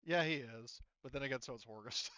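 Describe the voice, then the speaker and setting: A man speaking as a game master, explaining the story in a halting, conversational way. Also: medium pitch, pushed and bright, dry recording, lo-fi sound. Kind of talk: improvised tabletop roleplay narration.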